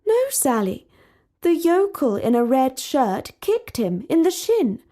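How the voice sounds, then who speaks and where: A woman's voice: high-pitched, sing-song, slow, mellow, pitched above the performer's own voice, full character voice. A woman voicing a polite young princess who seems to come from another age, speaking in a prim, formal way. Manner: prim, formal, old-fashioned.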